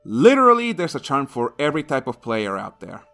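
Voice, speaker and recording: A man's voice: medium pitch, wildly swooping pitch, a little fast, vocal fry, a bit bright. A man narrating a scripted comedic video game review in a crude, sarcastic, sweary manner.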